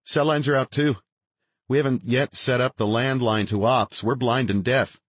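Man acting an anxious military tech, raised pitch. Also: fairly even intonation, moderate pace, no echo, close to an everyday voice. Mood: alarmed, urgent. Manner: urgent, clipped technical reporting. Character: anxious male military communications technician reporting trouble.

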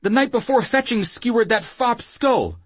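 A man's voice: very high-pitched, lively intonation, moderate pace, breathy edge, dry recording, voice pushed up, full character voice. A man voicing a frightened brothel keeper in an audiobook, babbling breathlessly and pleading with feeble, sour defiance. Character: frightened whoremaster, rambling with feeble rage and foul-mouthed pleading.

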